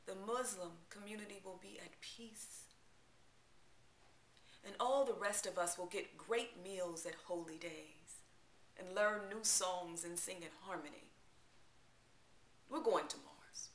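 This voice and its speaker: A woman giving a dramatic spoken-word poetry recital, theatrical and emphatic, with deliberate pauses. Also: medium pitch, a bit bright, slight background noise. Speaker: poetry reader. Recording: roomy, echoing live-room sound.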